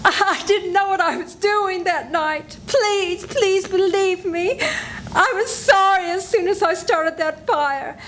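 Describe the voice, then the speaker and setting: Older woman doing terrified guilty woman, very high voice, slow and pleading, live radio play reading, faint background hiss. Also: fairly bright tone, full character voice. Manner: frantic, pleading. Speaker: senior theater performer. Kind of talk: old-time radio drama reading.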